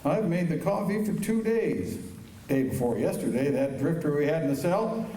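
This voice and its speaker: A man's voice: fairly high pitch, expressive, normal speed, mellow, close to an everyday voice. A man acting as a plain-spoken, stubborn old-West lawman, grumbling in a Western radio drama. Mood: worried grumbling. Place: high school auditorium stage. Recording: roomy auditorium, distant mics, faint noise.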